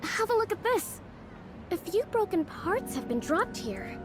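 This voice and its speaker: Polite young anime game maid type, gentle n earnest, high voice, music going behind. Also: female voice, very animated, unhurried, full character voice. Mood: puzzled, careful. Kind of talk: video game character acting.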